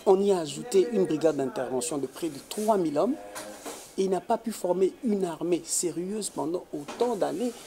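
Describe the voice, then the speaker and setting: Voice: medium pitch, expressive, moderate pace, vocal fry, warm tone. A guest from the Congo, giving emphatic, indignant interview answers with frequent hesitations. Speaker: political commentator guest.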